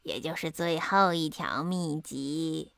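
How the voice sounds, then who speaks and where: A woman performs a wise old grandpa toad from a children's storybook. The voice sits low and creaky with a gravelly texture, yet stays bright and forceful. Every word comes out slow and deliberate, recorded close and dry. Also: dry recording, exaggerated voice. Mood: wise, patient. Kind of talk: children's bedtime story reading.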